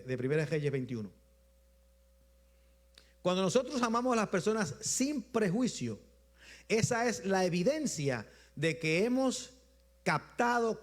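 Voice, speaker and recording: A man with a Puerto Rican accent preaching a Sunday sermon in a rhythmic style, repeating phrases and letting his voice drop low at the end of each point. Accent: Puerto Rican Spanish. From Puerto Rico. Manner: rhythmic repetition, drops low at phrase ends, slows for emphasis. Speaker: bishop preaching.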